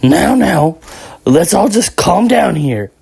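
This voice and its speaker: A man's voice: sits high, big pitch swings, takes it slowly, gravelly, a little mellow, breathy edge, no room sound, exaggerated voice. An actor playing a wary young male pirate who talks big, with a placating manner, in an anime audio drama. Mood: uneasy.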